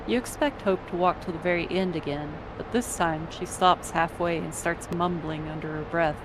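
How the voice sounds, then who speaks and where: A woman's voice: on the low side, moderate pace, noisy background. A woman reading scripted second-person story narration as the narrator. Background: music under the voice.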